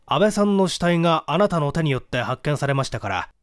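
Man playing a courteous police detective, lively intonation. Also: a little high, no room sound, clean studio sound, lightly acted. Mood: serious, explanatory. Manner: polite, measured, explanatory. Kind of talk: dramatic audiobook reading of a mystery.

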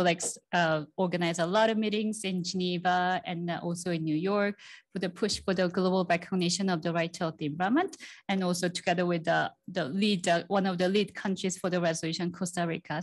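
Low-pitched woman, warm and slightly hesitant. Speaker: legal officer on a panel. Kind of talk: self-introduction. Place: large conference room.

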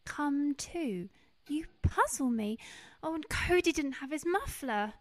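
A prim wife in a radio drama, acted by a woman. Her high, very lively voice is brisk and questioning, with a puzzled, reproachful edge. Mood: anxious, puzzled. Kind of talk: radio play acting.

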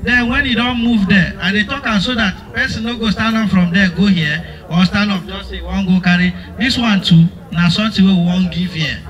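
Nigerian man, high voice, addressing a gathering from off the mic. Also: moderate pace, hardly any pauses, a bit bright, highs cut off. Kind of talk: instructing a crowd in Nigerian Pidgin. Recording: voice far from the mic.

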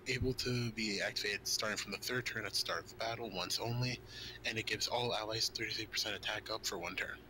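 A man's voice: fairly low pitch, steady tone, quick pace, sharp tone, a little hiss or hum, poor audio. A man co-hosting casual gaming news, chatting and reading out card stats. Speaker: co-host reading game card translations.

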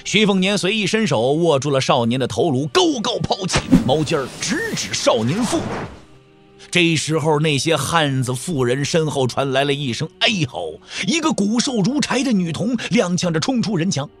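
A man's voice: fairly high pitch, big pitch swings, moderate pace, music in the background. A man narrating a martial-arts audio drama as a dramatic scripted storyteller.

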